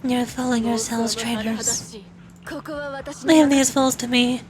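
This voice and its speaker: A woman's voice: fairly high pitch, steady tone, slow, a crowd in the background. A woman playing a stern, defiant soldier in a video game, with a terse, commanding delivery. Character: defiant, stern female soldier protecting allies.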